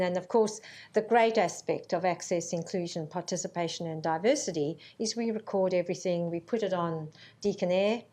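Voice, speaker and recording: A middle-aged woman born in Zambia giving a formal conference welcome speech, measured and earnest, with frequent ums. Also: on the low side, darkish tone. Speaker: university vice-chancellor. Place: conference hall.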